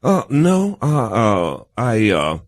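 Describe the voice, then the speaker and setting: An older American man answering as an interview guest, halting and wordy, with folksy turns of phrase. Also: medium pitch, huge pitch swings, dry recording. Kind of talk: conversational, reminiscing interview answers.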